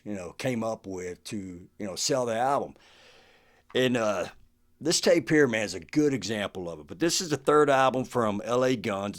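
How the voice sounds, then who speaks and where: A man talking casually to camera as the host of a collection show, in a laid-back, conversational way with "man" and "you know" sprinkled in. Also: on the low side.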